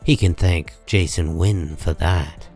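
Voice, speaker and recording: A man narrating a comic book story in a dramatic, deliberate storytelling style. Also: low-pitched, steady tone, darkish tone, soft background music.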